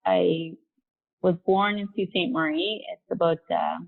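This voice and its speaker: A woman in her forties, raised in Mexico, speaks candidly about her life in a relaxed interview, with easy fillers along the way. Her voice sits a little low. It comes through a narrow, phone-like video-call line. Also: measured pace, creaky. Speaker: guest, company vice president.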